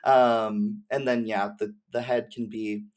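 A man's voice: lowish voice, little pitch movement, slow pace, a little mellow. A young man chatting casually about gaming as a podcast guest, effusive and slangy, peppering his talk with "like". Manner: effusive, slangy, uses "like" heavily. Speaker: podcast guest, game streamer.

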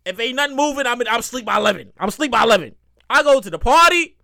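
A man's voice: very high-pitched, rapid-fire, gravelly. A man in his thirties hosting a casual solo podcast, rambling and cursing as he repeats himself. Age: 30s. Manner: animated, acts out quotes.

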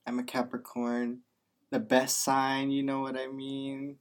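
A man's voice: mid-range voice, monotone, measured pace. A nineteen-year-old man co-hosting a podcast, playful and teasing in casual chat. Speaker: podcast co-host, business student. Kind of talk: scripted intro, then casual chat.

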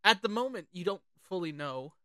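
An excitable, sweary podcast host chatting casually about films. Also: medium pitch, brisk, no echo, clean studio sound. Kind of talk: casual film chat with quoted lines.

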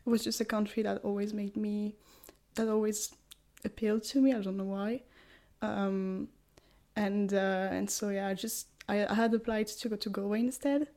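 A woman's voice: mid-range voice, steady tone, normal speed, darkish tone. Hesitant young French woman. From France. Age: 20s. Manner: hesitant, many fillers and restarts. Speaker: guest, English language student. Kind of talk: casual podcast conversation.

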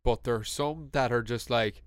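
A man's voice: mid-range voice, measured pace, smooth, no echo, clean studio sound. A young man with a west-of-Ireland accent, chatting on a podcast in a teasing way with playful, exaggerated reactions. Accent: west of Ireland Irish. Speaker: podcast co-host. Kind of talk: casual chat.

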